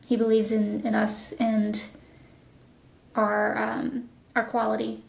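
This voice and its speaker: A woman speaking earnestly and casually as an interviewed producer, in a measured way. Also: a little high, completely flat delivery, gravelly, a little mellow, slight background noise. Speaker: executive producer being interviewed. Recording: roomy echo.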